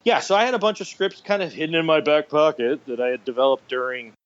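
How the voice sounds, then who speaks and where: A man's voice: fairly high pitch, fast. Joking American man, dull call audio. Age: 50s. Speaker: podcast guest. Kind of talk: casual interview storytelling. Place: remote online call.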